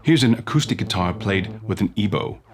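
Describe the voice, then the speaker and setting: A man presents in a casual voice-over style. Electronic music and instrument sounds play loudly behind him throughout. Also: lowish voice, lively intonation, brisk. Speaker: effects pedal demo host. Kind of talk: casual demo voice-over narration.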